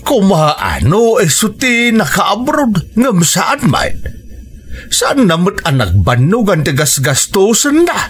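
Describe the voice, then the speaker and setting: An exasperated male relative in a radio drama. His voice sits fairly high and swings widely in pitch. He leans hard on exclamations and draws them out. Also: sing-song, takes it slowly, husky, full character voice.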